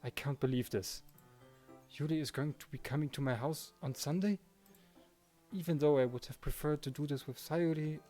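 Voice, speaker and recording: A man's mid-pitched voice with music playing behind it. He narrates a visual novel in the first person, acting out the protagonist's thoughts and lines as he reads. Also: mellow. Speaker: Let's Play narrator reading the protagonist's lines.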